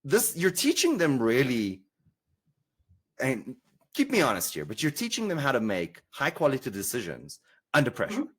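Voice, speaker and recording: Lively South African man with a low, husky voice. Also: moderate pace. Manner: animated, enthusiastic, stumbles over word starts. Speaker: podcast host. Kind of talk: casual interview conversation.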